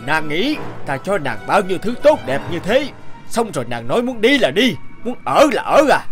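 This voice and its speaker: A man voicing a menacing, lecherous sea god in an animated fairy tale, gloating and commanding. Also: high-pitched, moderate pace, faint music behind. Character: menacing, lecherous male sea god holding a girl captive.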